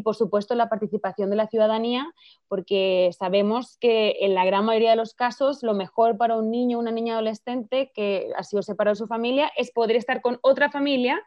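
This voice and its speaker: Earnest non-Chilean woman, fluent and lively. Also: a little high, no echo. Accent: non-Chilean Spanish. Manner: fluent, earnest explaining with many clauses. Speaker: filmmaker and campaign director, interview guest. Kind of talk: radio interview answers. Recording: dry, dull lo-fi radio audio.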